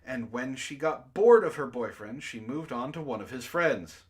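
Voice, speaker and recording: A man's voice: medium pitch, animated, moderate pace. A man hosting a book club stream, reading aloud and breaking into exasperated, incredulous asides in casual jokey banter.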